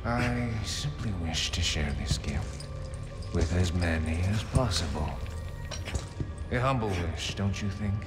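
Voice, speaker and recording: An actor playing an older cult leader in a video game, preaching solemnly and deliberately with serene menace. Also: male voice, low voice, expressive. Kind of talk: video game cutscene acting. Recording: echoing voice under loud music.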